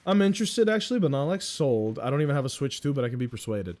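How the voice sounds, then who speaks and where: A young man streaming a game, chatting casually with his viewers in a loud voice, swearing freely and greeting chat members by name. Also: mid-range voice, very animated, fast talker. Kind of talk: casual gaming livestream chat.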